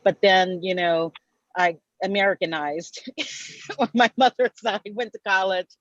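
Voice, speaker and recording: A woman chatting casually on a video call, relaxed and laughing between her thoughts. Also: mid-range voice, measured pace, muffled recording. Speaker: party guest.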